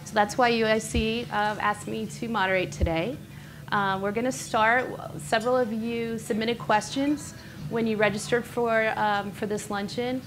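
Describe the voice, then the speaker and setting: A woman's voice: a little high, fairly even intonation, normal speed. Woman moderating a panel. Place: event room. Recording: noisy room recording.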